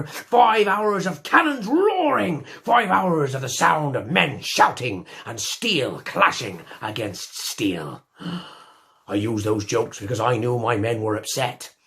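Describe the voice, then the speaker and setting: An actor playing a boisterous, noble-born pirate captain with a gravelly voice, telling hammy jokes and a dramatic tale in character. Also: male voice, a bit bright. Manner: booming, hammy, corny jokes and dramatic pauses. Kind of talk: theatrical in-character storytelling.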